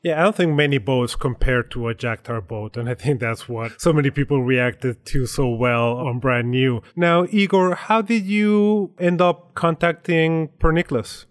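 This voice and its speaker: A man's voice: mid-range voice, moderate pace, few pauses. A man hosting an interview podcast, asking his guests questions in a conversational style. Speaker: interview host.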